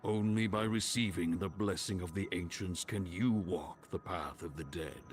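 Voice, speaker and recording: A man's voice: deep voice, moderate pace, slight background noise, lightly acted. A man voicing a stern, proud ancient chieftain's spirit in a fantasy game, speaking in grave, formal oratory. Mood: solemn, instructing. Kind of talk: scripted fantasy game voice acting.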